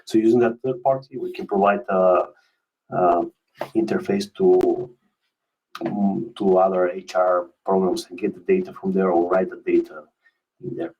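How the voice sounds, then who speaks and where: A man giving a webinar product presentation as a specialist, speaking in a hesitant, halting way and often repeating words. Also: deep voice, fairly even intonation, dull audio.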